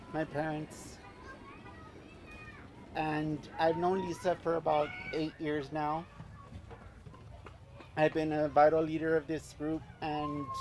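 A man's voice: fairly high pitch, noisy background. Man, late 30s, earnest personal testimony outdoors; loosely strung, heartfelt delivery. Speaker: speaker giving a testimony. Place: outdoor park event. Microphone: handheld mic on stand. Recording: faint outdoor ambience.

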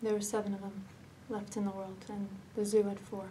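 A woman performing a dramatic monologue as a fragile, haunted young woman, speaking haltingly with hesitant pauses and repetitions. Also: mid-range voice, level tone, mellow, far from the mic, slight background noise, natural voice. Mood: wistful, hushed.

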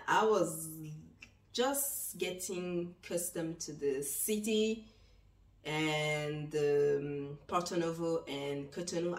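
A woman in her thirties from Benin, raised in France, speaking as she tells her story reflectively to the camera, with many pauses. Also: low-pitched. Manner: reflective, gestures while talking, many pauses. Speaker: travel vlogger. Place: small bright room indoors, seated in armchair. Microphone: camera across the room. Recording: echoey room, voice from a distance.

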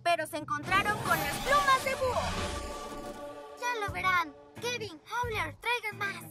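A woman's voice: very high-pitched, lively intonation, unhurried, distant mic, heavily acted. A woman with a Latin American Spanish accent voicing a cocky, scheming young werewolf girl in a cartoon dub. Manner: boastful, bossy. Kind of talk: animated cartoon dub acting. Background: cartoon background music.

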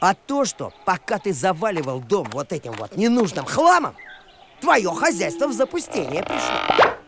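A man voicing a simple, teasing, good-natured talking dog in an animated cartoon. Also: high voice, moderate pace, gravelly, heavily acted. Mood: reproachful. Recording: music behind the voice.

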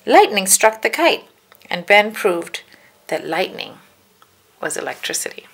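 A woman reading a children's storybook aloud, with careful, deliberate phrasing for young listeners. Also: medium pitch, sing-song, creaky, fairly bright tone. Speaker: children's book reader. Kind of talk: read-aloud storybook narration.

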